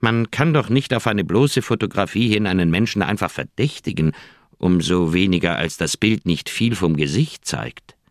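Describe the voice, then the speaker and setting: Deep, uneasy old doctor. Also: male voice, lively intonation, moderate pace, no room sound, studio quality. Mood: reluctant, pleading. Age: older. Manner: hesitant, pleading, troubled. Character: uneasy, evasive, well-meaning old male doctor. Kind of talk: German radio crime drama acting.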